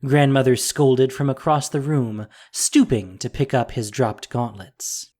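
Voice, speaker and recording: A narrator with a measured, dramatic storytelling manner, reading a scripted audio drama. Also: medium pitch, darkish tone. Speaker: podcast narrator and producer.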